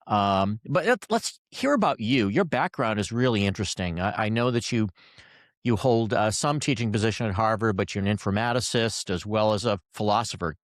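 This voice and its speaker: A man's voice: lowish voice, animated, fairly quick, no echo, studio quality. A man who hosts a podcast and works as a doctor, talking to his guest in a friendly, conversational way with frequent fillers. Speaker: podcast host and physician. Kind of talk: podcast interview.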